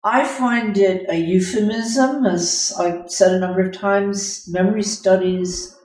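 An older woman, a scholarly interview guest, speaking thoughtfully and precisely, with deliberate pauses in mid-phrase. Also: mid-range voice, fairly even intonation, darkish tone. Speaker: guest, moral philosopher and author. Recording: echoey room, distant microphone.

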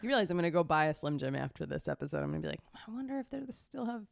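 Female podcast co-host, chatty, laughs a lot, animated delivery. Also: sits low, very fast, vocal fry, no echo, studio quality. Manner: bubbly, laughs often, swears casually. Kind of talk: casual chat. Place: in-person studio or room in Los Angeles.

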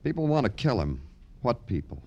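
An actor playing a wry, hard-boiled Broadway police detective in clipped, deadpan old radio noir style. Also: male voice, mid-range voice, a little fast, lo-fi sound. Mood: wry probing. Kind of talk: 1940s-50s radio noir acting and narration. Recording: vintage radio drama with music.

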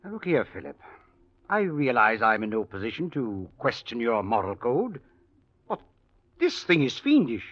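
A man's voice: medium pitch, huge pitch swings, normal speed, a bit bright, no room sound. An actor playing a principled, earnest, protesting male family lawyer in 1940s radio drama. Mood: appalled. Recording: dull vintage radio recording.

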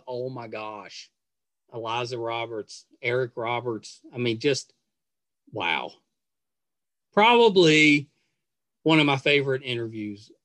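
A man's voice: mid-range voice, a bit bright, no room sound. A man hosting a podcast, chatting casually to listeners in an effusive, gushing manner. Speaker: podcast host and interviewer. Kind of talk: casual chat and outro to listeners.

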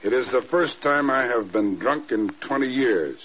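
An actor playing a dry, dignified, slightly tipsy adjutant major, formal and wry, in a radio drama. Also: male voice, medium pitch, expressive, moderate pace, lightly acted. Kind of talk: mid-century radio drama acting. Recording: dull, noisy old radio recording.

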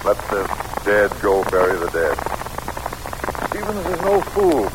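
Weary American statesman, middle-aged, folksy and wry, reflective delivery, old radio drama with heavy background noise. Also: huge pitch swings. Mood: resigned. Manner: reflective, unhurried, drily humorous. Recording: noisy old radio recording, rumbling background.

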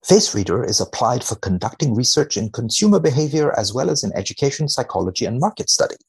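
A man's voice: mid-range voice, sing-song, fast, breathy edge. A man speaking as a researcher guest, reading studies aloud and commenting with dry mock seriousness.

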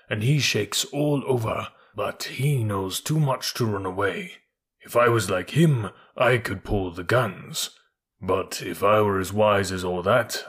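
A man's voice: lowish voice, vocal fry, breathy edge, exaggerated voice. A man voicing a nervous, easily offended male elephant in a storybook, fussy and self-pitying in manner.

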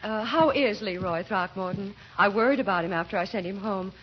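A woman acts as a proper American schoolteacher in classic radio comedy. She speaks politely and solicitously at a medium pitch. The old recording is dull, with faint noise. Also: a little fast, close to an everyday voice. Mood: polite, concerned. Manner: polite, solicitous, slightly hesitant. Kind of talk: 1940s-50s radio comedy acting.

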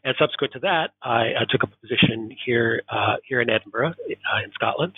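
Give me an American man talking over a phone-like line, husky and hesitant. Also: medium pitch, a little fast. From Oregon, United States. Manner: thoughtful, many fillers. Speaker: guest professor. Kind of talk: interview guest. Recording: tinny phone-like remote line.

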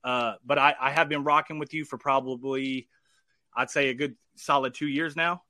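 A man's voice: medium pitch, steady tone, normal speed, pushed and bright, dry recording. A man hosting a casual podcast interview, rambling and restarting often, with casual swearing. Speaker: podcast host.

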